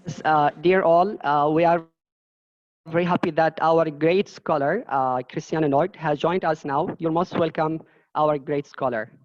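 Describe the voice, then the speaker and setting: A man's voice: a little high, fairly even intonation, unhurried. Hesitant man hosting, lo-fi audio. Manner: hesitant, repeats himself, many "uh"s. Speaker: webinar host.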